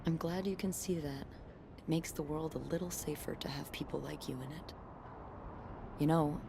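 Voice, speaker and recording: A caring young hotel worker with a notably low, soft voice. She talks earnestly and gently. A faint noise hums behind her. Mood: confiding. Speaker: coworker and friend of the maid. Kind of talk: video game voice acting.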